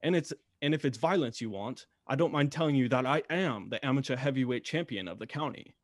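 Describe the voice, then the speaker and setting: A man's voice: mid-range voice, lively intonation, a little fast, no room sound, clean studio sound, natural voice. A male actor in a radio drama playing a proud, earnest young bridegroom who speaks firmly. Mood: defiant.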